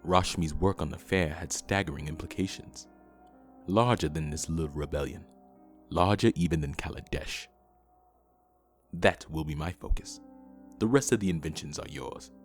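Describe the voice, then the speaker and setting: A man's voice: a bass voice, normal speed, pauses often, soft background music. A man playing a domineering, impatient villain in an audio drama, with a curt, commanding way of speaking. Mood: curt, intent. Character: domineering, impatient male villain with ruthless ambition.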